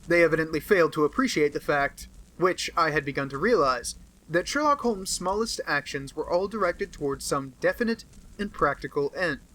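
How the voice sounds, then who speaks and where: A man with an American accent narrating a classic detective novel in a steady, earnest way. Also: fairly high pitch, rough recording. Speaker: audiobook narrator. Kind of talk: amateur audiobook narration.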